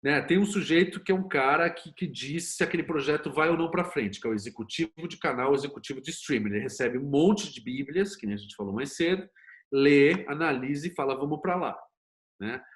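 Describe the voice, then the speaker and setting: A man's voice: fairly high pitch, lively intonation, a little fast. A man with a Brazilian Portuguese accent talking casually and emphatically as a guest in an interview, in informal language. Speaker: interview guest, TV producer and screenwriter.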